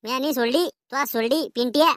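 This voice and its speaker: A woman's voice: sits high, brisk, fairly bright tone, no room sound, clean studio sound. A woman voicing a cheeky, quarrelsome village schoolboy in a comedy cartoon, with rural Maharashtrian slang and a taunting, argumentative way of talking.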